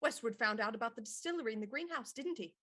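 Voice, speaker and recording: Woman as shrewd, high-voiced mystery inspector. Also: lively intonation, fast talker, sharp tone. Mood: accusing. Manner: pointed, accusatory, theatrical. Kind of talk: radio murder mystery acting.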